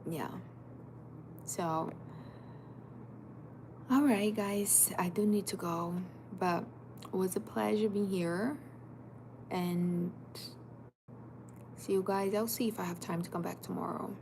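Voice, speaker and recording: Woman in her thirties, life coach, emphatic and direct, lowish gravelly voice. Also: fairly even intonation, slow pace, long pauses. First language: Portuguese. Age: 30s. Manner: emphatic, direct, repetitive second-person urging. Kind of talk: motivational livestream talk. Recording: noisy background.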